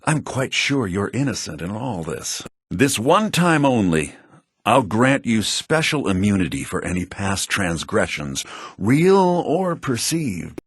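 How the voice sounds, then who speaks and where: An excitable fantasy game schemer, theatrical and fussy. His delivery swings widely in pitch and has a rough, husky edge. The audio is dry, low-bitrate game sound. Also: measured pace, no echo, heavily acted. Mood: fussy, weary. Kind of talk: video game character dialogue.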